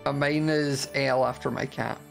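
A man on a gaming call with friends, dropping dry, deadpan jokes. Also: mid-range voice, normal speed, vocal fry, soft background music. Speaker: streamer guest. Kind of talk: casual gaming chat.

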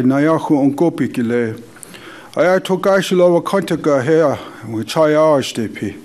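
A man with a fairly high voice, speaking slowly and solemnly as he leads a prayer. His first language is Dakota. He is recorded in a conference hall. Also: a little mellow. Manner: slow, measured, solemn. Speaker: elder leading prayer. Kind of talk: spoken prayer.

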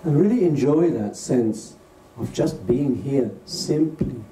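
Man, meditation teacher, very mellow muted tone, gentle phrasing with pauses, distant roomy sound. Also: medium pitch, expressive. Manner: gentle, unhurried, pausing between short phrases. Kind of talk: guided meditation. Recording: distant roomy recording with faint noise.

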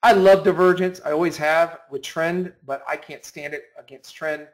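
A man's voice: a little high, fairly even intonation, moderate pace, low-quality recording. A man giving an informal instructional talk, explaining emphatically with repetition and rhetorical questions. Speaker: trading instructor. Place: voice-over on a screen recording.

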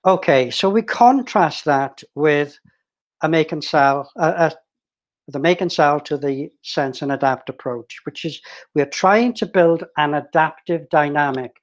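A man's voice: on the high side, measured pace. An older man giving a conversational presentation on a video call, picked up by his webcam in a small room at home. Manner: conversational, restarts and fillers while explaining. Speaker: meetup presenter, business consultant.

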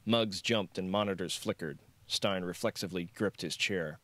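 A man narrates a thriller novel as an audiobook. His voice is on the low side. The recording is dry and close, with the scripted delivery of a storyteller. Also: normal speed, fairly bright tone, no echo.

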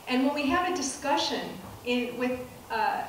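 A middle-aged woman giving a public lecture as a professor, speaking softly and with measured pacing, often pausing with ums and restarting phrases. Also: high-pitched, animated. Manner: soft-spoken, measured, with frequent ums and restarts. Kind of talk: lecture to an audience. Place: dark auditorium stage with projector screen. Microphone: handheld mic, recorded from a far camera. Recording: distant, echoey hall with room noise.

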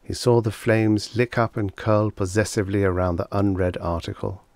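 A man with a fairly deep voice reads fiction as an audiobook narrator. His delivery is measured and expressive. The recording is dry, free of room sound. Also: dry recording. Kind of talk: scripted story narration.

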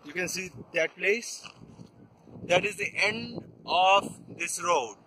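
A young Indian man with an Indian English accent, narrating a casual travel vlog outdoors on a mountain road, pausing on fillers. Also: high-pitched, sharp tone, a little hiss or hum, rough recording. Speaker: vlogger. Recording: music playing over the voice.

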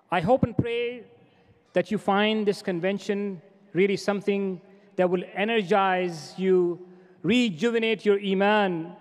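Middle-aged man, high voice, earnest exhorting speech, podium microphones, long echo of a large hall. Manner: earnest, exhorting, with repeated phrases. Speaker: organization president giving a welcome address. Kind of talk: formal convention welcome speech. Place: large convention hall stage.

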